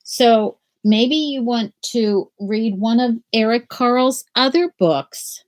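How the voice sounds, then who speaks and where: An older woman, a school principal, reads aloud gently and slowly to young children from a small room at home on a laptop webcam. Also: fairly high pitch. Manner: slow, gentle and animated, like reading to young children. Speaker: school principal reading to students. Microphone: laptop webcam at desk.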